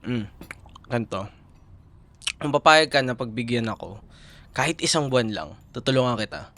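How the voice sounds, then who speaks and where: Male actor as young Filipino boyfriend for audio drama: medium pitch, casual Taglish, coaxing, caring, easily exasperated. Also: animated, normal speed, sharp tone. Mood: concerned, coaxing. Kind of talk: boyfriend roleplay audio, intimate conversational acting.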